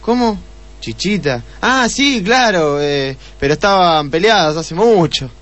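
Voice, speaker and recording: An actor playing a nervous, defensive husband under questioning in a radio play, hesitant and stammering. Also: male voice, high-pitched, expressive, unhurried, a bit bright, no room sound, soft background music. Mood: puzzled, uneasy. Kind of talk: Spanish-language radio play acting.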